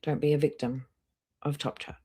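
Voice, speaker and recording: A woman with a low-pitched voice hosts a casual livestream. She gives blunt, critical reaction commentary in a chatty, unscripted way, swearing freely. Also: flat delivery, moderate pace, dark tone, husky.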